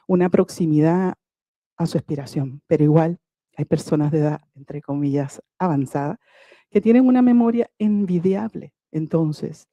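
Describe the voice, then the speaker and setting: A woman poet reading a prepared talk about her book in a measured, lyrical and reflective way. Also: sits low, warm tone, dry recording.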